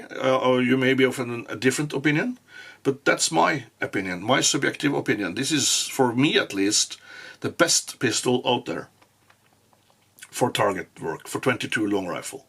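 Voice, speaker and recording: A man whose first language is Norwegian, giving a casual firearm review in English with frequent pauses and restarts. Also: mid-range voice, expressive, creaky, fairly bright tone, slightly rough. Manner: halting, many fillers and restarts. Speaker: gun reviewer.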